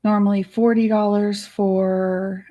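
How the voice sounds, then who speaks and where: A middle-aged woman with a raspy, hoarse voice, hosting a casual live chat from her home studio on a studio mic. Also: medium pitch, level tone, slow, highs cut off. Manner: chatty, bubbly, giggly. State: hoarse, worn voice. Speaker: livestream host. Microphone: studio microphone.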